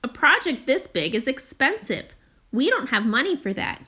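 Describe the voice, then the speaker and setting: Woman as a male landlord, children's storybook, matter-of-fact, bright tone, lively intonation. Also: high voice, normal speed, voice pushed up, full character voice. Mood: dismissive. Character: dismissive male landlord who grudgingly softens. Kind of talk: children's storybook character reading.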